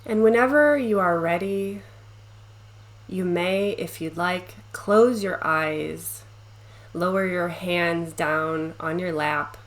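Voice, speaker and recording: A woman leading a guided meditation, speaking gently and soothingly with long pauses between short phrases. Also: lowish voice.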